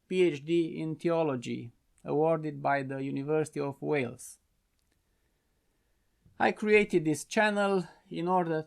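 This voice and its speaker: Man, Romanian accent, non-native English, gravelly voice, raised pitch, earnest prepared talk, small office studio. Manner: measured, earnest explanatory delivery. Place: simple home-office studio.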